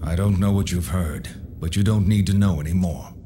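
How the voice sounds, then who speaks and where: A man's voice: sits low, fairly quick, noisy background, close to an everyday voice. A man playing a grieving, reclusive old alchemist in a video game cutscene, grave and guarded with a weighty voice. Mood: guarded, weary. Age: older. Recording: loud music behind the voice. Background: loud background music.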